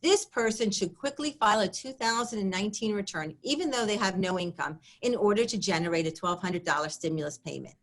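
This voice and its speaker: A woman presenting at an accounting webinar, reading prepared remarks in a measured way that drops at the ends of sentences. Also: mid-range voice.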